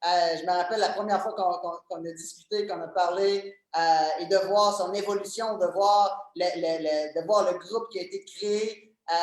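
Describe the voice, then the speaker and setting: Enthusiastic Québécois young man, echoey. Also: sits high. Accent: Québécois French. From Quebec, Canada. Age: 20s. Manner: enthusiastic and joking, many fillers and repeated words. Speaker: guest, MLM coach. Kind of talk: casual interview chat. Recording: very echoey room.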